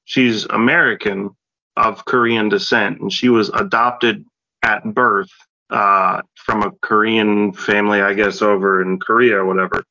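A man's voice: fairly low pitch, flat delivery, measured pace, sharp tone, dry recording, muffled recording. A man in his late thirties co-hosting a podcast, speaking casually and hesitantly with many fillers. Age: late 30s. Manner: hesitant, many ums and restarts. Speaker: podcast co-host. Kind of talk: casual chat.

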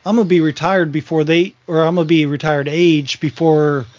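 A man's voice: a little high, steady tone, moderate pace, a little mellow, dry recording, lo-fi sound, soft background music. A man in his forties co-hosting a podcast, chatting casually and rambling with frequent restarts. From Virginia. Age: 40s. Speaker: podcast co-host. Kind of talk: casual chat.

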